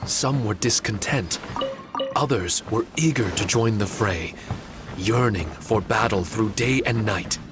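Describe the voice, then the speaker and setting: An actor playing a calm, solemn man haunted by old memories in a video game, speaking in a measured, formal, reflective way. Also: lowish voice, natural voice. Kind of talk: video game character dialogue. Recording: loud music over the voice.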